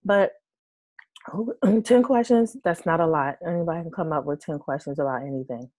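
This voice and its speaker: A woman in her thirties, hoarse from losing her voice, casually explaining an online tutorial with many fillers and restarts. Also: deep voice, normal speed, darkish tone, no room sound. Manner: rambling, hesitant, many fillers. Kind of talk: casual screen-share tutorial. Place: small room with red curtains, indoors.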